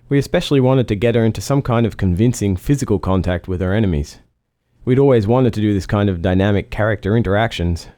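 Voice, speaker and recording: Male developer narrator, scripted, mellow low voice, close dry sound. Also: no room sound. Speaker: game developer giving commentary.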